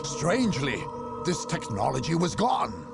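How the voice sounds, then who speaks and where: A man playing a grave, measured chronicler, giving solemn, theatrical narration for a video game cutscene. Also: fairly high pitch, huge pitch swings, vocal fry, music in the background, full character voice.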